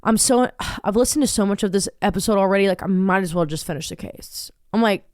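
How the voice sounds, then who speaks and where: A woman's voice: mid-range voice, quick pace, a little mellow, dry recording, clean studio sound. A woman in her twenties co-hosting a podcast, telling stories in a rambling, casual way and swearing freely. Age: 20s. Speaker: podcast co-host. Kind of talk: casual chat.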